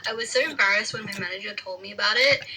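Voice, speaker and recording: A woman's voice: medium pitch, very slow, gravelly, noisy background. A woman casually telling a self-mocking story about a mishap at her office. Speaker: office worker telling an anecdote.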